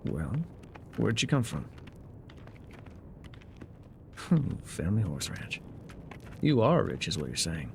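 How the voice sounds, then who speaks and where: An actor playing a gruff, wry loner guide in an ASMR roleplay, with a dry, sardonic, unhurried delivery. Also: male voice, low-pitched, big pitch swings, a little mellow, slight background noise, exaggerated voice. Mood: dry, curious. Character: gruff, wry loner man guiding a rider on foot.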